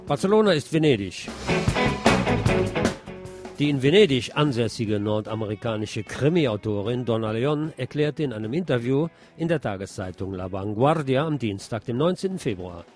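A man's voice: mid-range voice, lively intonation, normal speed, over loud music. A man hosting a radio magazine, reading scripted news reports in a presenter's role. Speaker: radio host and presenter, also an author.

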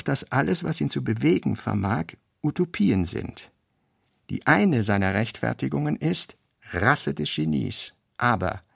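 Man reading literary notes, gravelly, dry studio. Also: medium pitch, dry recording. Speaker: narrator. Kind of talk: scripted literary reading in radio drama.

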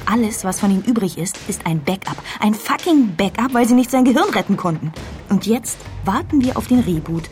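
A woman's voice: mid-range voice, darkish tone, close to an everyday voice. An actress playing a bitter, sarcastic young woman in a radio drama, speaking in a biting, naturalistic way. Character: bitter, sarcastic young woman working in tech, venting angrily.

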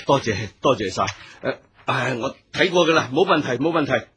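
A man with a Cantonese accent playing an eager, obsequious henchman in a radio drama, flattering and deferential to his boss. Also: mid-range voice, fairly quick, slight background noise, close to an everyday voice. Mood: eager deference. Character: obsequious male henchman, eager smooth-talking right-hand man.

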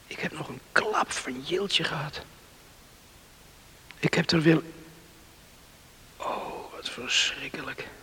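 An actor from a radio play, playing a hot-headed, befuddled young countryman, speaking in agitated, halting exclamations. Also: on the high side, pushed and bright, slightly rough, full character voice. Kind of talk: radio drama acting. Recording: hissy old radio play recording.

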